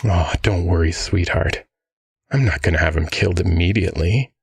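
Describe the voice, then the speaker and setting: A man's voice: sits low, normal speed, gravelly, breathy edge, no echo, full character voice. A man playing a rich, affable but menacing crime-boss father in an ASMR audio drama, breezy, doting and casually threatening. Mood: calmly menacing.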